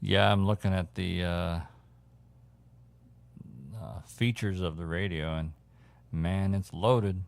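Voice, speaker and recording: A man hosting a casual radio hobby chat, thinking aloud in a halting way. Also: deep voice, fairly even intonation, vocal fry, dry recording. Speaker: radio hobbyist host showing viewers CB radio gear.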